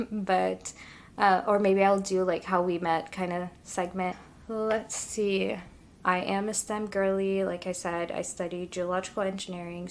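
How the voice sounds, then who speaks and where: A young woman talks to her camera in a relaxed, upbeat get-to-know-me chat. Her voice sits at an ordinary middle pitch, and she fills the pauses with easy fillers. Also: slight background noise. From Ethiopia. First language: Amharic. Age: 20s. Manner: chatty and upbeat, with many fillers. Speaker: vlogger.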